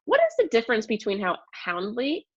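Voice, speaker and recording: A woman teacher giving an expressive read-aloud lesson to young children, explaining and asking questions as she goes. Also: a little high, a little fast, slightly rough, dull audio.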